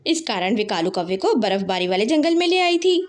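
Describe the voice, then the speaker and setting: A woman's voice: mid-range voice, very animated, fairly quick. A woman storyteller narrating a scripted children's tale.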